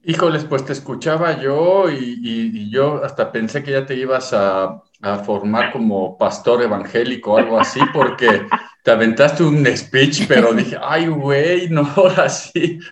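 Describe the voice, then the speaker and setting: A man's voice: medium pitch, a little slow. A Mexican man in his forties, joking in a casual panel chat with many fillers and hesitations. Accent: Mexican Spanish. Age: 40s. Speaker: co-host. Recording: echoey room.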